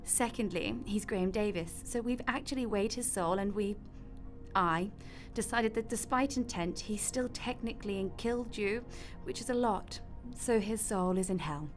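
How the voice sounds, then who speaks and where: A woman's voice: mid-range voice, normal speed, natural voice. Woman playing a matter-of-fact God, over music. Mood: firm, matter-of-fact. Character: God as a breezy, matter-of-fact mother, wryly bureaucratic. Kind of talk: radio drama acting.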